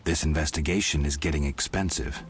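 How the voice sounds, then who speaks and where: An actor playing a stoic, gruff monster hunter in a video game, terse and deadpan with a gravelly voice. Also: male voice, extremely low pitch, brisk, soft background music. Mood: flat, guarded.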